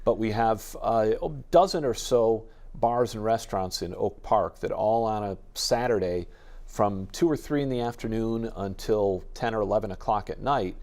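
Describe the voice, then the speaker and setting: A man's voice: fairly low pitch, normal speed, a little mellow. An American man talking casually as an interview guest from a radio station.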